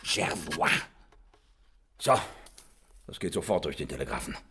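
An actor playing an indignant, excitable middle-aged French father in a radio drama, insistent and husky. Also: a bass voice, a little slow, full character voice. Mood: indignant, dictating.

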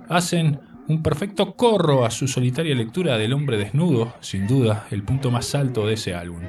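A man from Uruguay, reading a prepared radio text as the presenter in a measured way. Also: medium pitch, a little mellow, recorded from a distance. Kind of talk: scripted radio narration.

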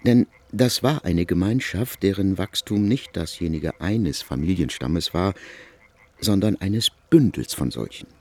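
Learned narrator of a biblical epic, deep gravelly voice, big melodic swings, deliberate phrasing. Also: male voice, lively intonation, a little mellow, dry recording, full character voice. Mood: erudite, expressive. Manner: eloquent, deliberate phrasing, essayistic. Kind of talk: literary radio play narration.

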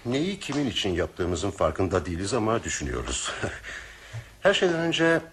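A man's voice: huge pitch swings, moderate pace, a bit bright, slight background noise. A man acting in a Turkish radio play as a cynical, philosophizing train passenger, wry and formally spoken. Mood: ironic, challenging. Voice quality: deep, husky. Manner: theatrical, musing, ironic, formally worded.

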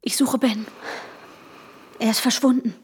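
An actress playing an anxious, controlling woman searching for her missing fiancé in a radio drama, intense and hushed, in short clipped sentences. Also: fairly high pitch, fairly even intonation, unhurried, a little hiss or hum, close to an everyday voice. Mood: pleading, urgent. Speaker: protagonist and narrator. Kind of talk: radio drama inner monologue and dialogue. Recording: music under the voice. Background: music.

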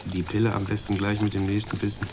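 An actor playing a sly gentleman with a mock-solemn, coaxing manner in a radio play. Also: fairly low pitch, completely flat delivery, quick pace, noisy background, close to an everyday voice. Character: sly gentleman plotting to poison dogs.

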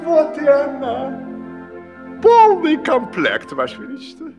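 Timid, obsequious male courtier, high swooping voice, stammering and fawning, fairy-tale audio play over music. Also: wildly swooping pitch, slow, heavily acted. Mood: obliging.

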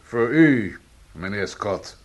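A man's voice: fairly low pitch, lively intonation, slow pace. A man playing a smug, menacing police sergeant in a radio play, mock-polite and needling in manner. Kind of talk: Dutch radio drama dialogue.

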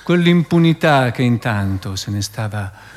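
A man's voice: medium pitch, lively intonation, measured pace. A man narrating a historical account as radio theatre, in a theatrical, emphatic storytelling way. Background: music.

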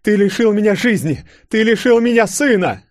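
A man performs a betrayed mother and secret agent in an audiobook drama. Her voice is high and dramatic, full of anguish, fury and accusation, with a close, dry sound. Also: fairly even intonation, measured pace, mellow, dry recording.

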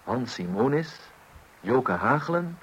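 A man's voice: medium pitch, sing-song, slow pace, fairly bright tone, husky, rough recording. A man working as a radio announcer, reading scripted closing credits for a Dutch radio play. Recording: faintly hissy old radio recording.

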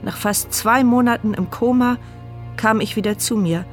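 A woman's voice: fairly high pitch, moderate pace, soft background music, lightly acted. Woman voicing a bitter, sardonic paralysed woman. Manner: dry, sardonic, reflective. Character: paralysed, blind, mute young woman, bitter and wry. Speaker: narrator. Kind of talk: radio drama inner monologue.

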